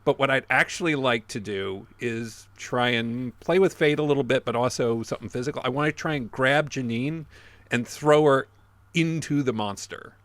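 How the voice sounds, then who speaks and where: A man's voice: medium pitch, normal speed, a bit bright, no echo. A man playing a tabletop game, casually talking through his move in a tentative, meandering way. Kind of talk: casual game chat, thinking aloud.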